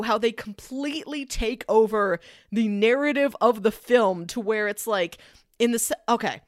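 A woman's voice: on the high side, dry recording, studio quality. A woman co-hosting a podcast, chatting casually about films and peppering her explanations with "like". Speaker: podcast co-host. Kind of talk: casual film discussion chat.

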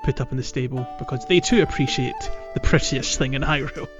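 A man's voice: medium pitch, fast, dull audio, over music. A man co-hosting a podcast, chatting casually about a game in a hesitant, meandering way. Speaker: podcast host. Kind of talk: casual game playthrough chat.

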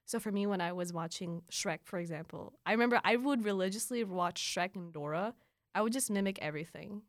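A woman's voice: mid-range voice, fairly quick, no echo, clean studio sound. A young woman from the Philippines chatting as a podcast guest, friendly and talkative, with many likes and ums. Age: young adult. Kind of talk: casual conversational interview.

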